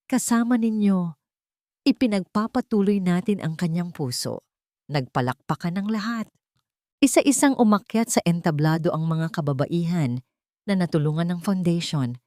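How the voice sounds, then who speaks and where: Low-voiced woman narrating, close and dry. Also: dark tone, no room sound. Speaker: storyteller. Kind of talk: scripted dramatic story narration.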